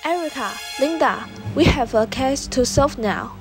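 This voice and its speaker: A woman's voice: high voice, expressive, unhurried, music in the background. A woman playing a private detective leading a case in an amateur student radio drama. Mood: businesslike.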